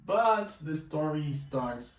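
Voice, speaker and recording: A man's voice: a little high, animated, slow pace. A young man from Brazil, a native Portuguese speaker with a Brazilian accent, casually telling his personal story as a language teacher in a vlog. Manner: casual, conversational, with filler phrases. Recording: roomy echo, mic at a distance.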